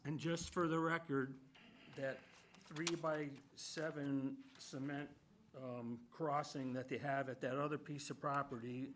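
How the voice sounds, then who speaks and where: A man's voice: medium pitch, flat delivery, a little slow, fairly bright tone. A man explaining things informally and matter-of-factly as a commission member at a public meeting. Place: indoor municipal meeting room. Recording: noisy town meeting room.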